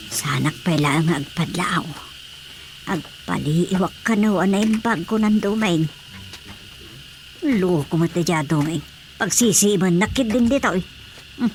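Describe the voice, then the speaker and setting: A nosy village woman who mutters to herself in exasperation in a radio comedy. Her voice is on the low side for a woman. Faint noise and crickets sit behind her. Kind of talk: Ilocano radio drama comedy acting.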